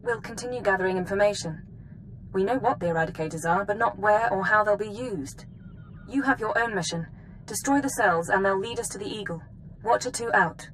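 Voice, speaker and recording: A woman's voice: mid-range voice, a little fast, a bit bright, lightly acted, low-quality recording. Woman acting a composed intelligence officer, background noise. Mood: composed, businesslike. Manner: crisp, formal, businesslike. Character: composed, precise female intelligence analyst briefing an agent. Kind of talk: video game voice acting.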